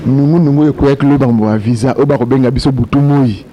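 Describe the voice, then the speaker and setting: A man, a reporter briskly introducing the story and questioning an official. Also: medium pitch, fairly even intonation, dull audio, background noise. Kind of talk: news report and interview.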